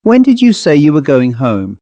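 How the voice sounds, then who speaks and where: Male narrator as cold country housewife, defiant, curt, rustic phrasing, lifted pitch, storybook character. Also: wildly swooping pitch, moderate pace, mellow, no echo. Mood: careless, curt. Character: hard, curt, defiant rural New England housewife.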